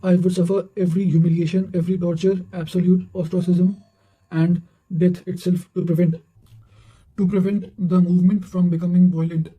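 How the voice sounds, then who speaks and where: A man's voice: sits high, level tone, normal speed, mellow, low-quality recording. A man reading quotations and study notes aloud in a formal manner, occasionally stumbling over words.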